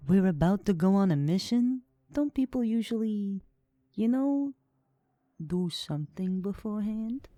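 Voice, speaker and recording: A woman's voice: mid-range voice, animated, measured pace, dark tone, lightly acted. A woman voicing a wry, teasing, casually foul-mouthed female adventurer in an audiobook. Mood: coy teasing. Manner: casual, sardonic. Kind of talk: audiobook character acting.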